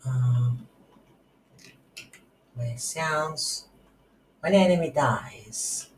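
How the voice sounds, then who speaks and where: A woman giving an unscripted screen-recorded coding tutorial, thinking aloud in halting short phrases. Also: rumbling low. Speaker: tutorial instructor. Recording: roomy echo, audible background noise.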